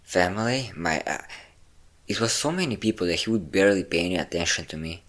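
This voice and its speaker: A man's voice: low-pitched, lively intonation, fairly quick, fairly bright tone. A man playing a possessive, obsessive vampire lover, vain and cruel, in an intimate, theatrical roleplay monologue with lingering words and sighs. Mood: wounded, wistful.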